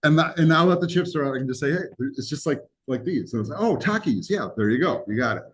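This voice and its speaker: A man's voice: a little high, lively intonation, quick pace. A man who grew up speaking Greek teaches a college class in a classroom, talking casually with jokes, asides and frequent ums. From United States. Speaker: college instructor.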